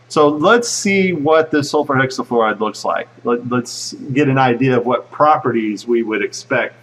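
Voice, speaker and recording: A man gives casual, explanatory commentary over a video. His pitch is average and his delivery is informal and a little halting, as if musing aloud. He sounds set back from the microphone. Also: fairly bright tone, recorded from a distance, low-quality recording. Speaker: video host and narrator.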